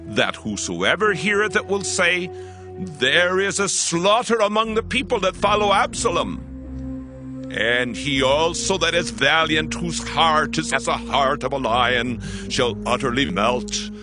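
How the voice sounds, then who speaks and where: An actor playing a shrewd, persuasive counsellor in an ancient royal court, in a dramatized scripture recording with deliberate, emphatic oratory. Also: male voice, on the high side.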